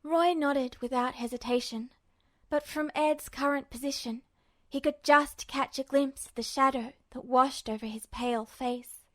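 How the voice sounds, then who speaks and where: High-pitched woman reading fiction. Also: steady tone, unhurried, a bit bright, dry recording. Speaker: podfic narrator. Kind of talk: scripted fiction narration.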